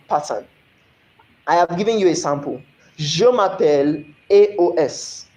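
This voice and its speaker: A man's voice: a little high, dark tone. Echoey Nigerian man teaching French. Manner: explanatory, asks "you understand?" often, spells out French slowly. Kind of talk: recorded online lesson.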